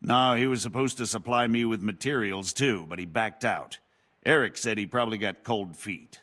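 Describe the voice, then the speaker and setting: An actor playing a scheming imprisoned knight who talks slyly and self-pityingly in a video game cutscene. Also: male voice, fairly low pitch, normal speed, fairly bright tone. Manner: sly, wheedling, self-pitying. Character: scheming imprisoned robber knight, older man.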